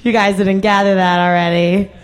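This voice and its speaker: A young woman performing a stand-up comedy set on stage, brash and profane, with a hoarse voice that carries. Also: medium pitch, little pitch movement, normal speed. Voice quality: hoarse, carrying voice. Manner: brash, profane, self-mocking. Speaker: stand-up comic. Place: comedy stage with live audience.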